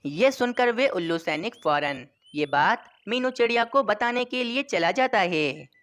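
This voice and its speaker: A woman's voice: medium pitch, expressive, unhurried, a bit bright. A woman reading scripted narration for a children's story.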